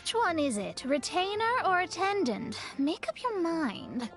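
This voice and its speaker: A woman's voice: very high voice, very animated, measured pace, a bit bright, soft background music, exaggerated voice. A woman voicing a sensible young female astrologer mage in a video game, blunt and exasperated in manner. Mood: tart. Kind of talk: English video game dub.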